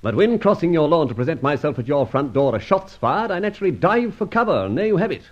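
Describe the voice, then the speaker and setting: An actor playing a suave, unflappable British gentleman adventurer in an old radio serial, well-spoken, wordy and ironically polite. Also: a little high, big pitch swings, fast, highs cut off. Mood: coolly indignant, polite.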